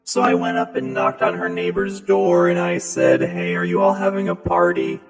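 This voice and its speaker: A man telling a casual personal story through a pitch-shifting musical voice effect. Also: big pitch swings, normal speed, gravelly, echoey room, long reverb tail, faint music behind.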